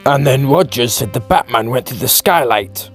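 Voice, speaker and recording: A man's voice: mid-range voice, animated, moderate pace, natural voice. A genial police commissioner, voiced by a man chats in a conversational way. The comic-book audiobook scene has music behind the voice. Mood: chatty. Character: genial male police commissioner, chatty authority figure. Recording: background music.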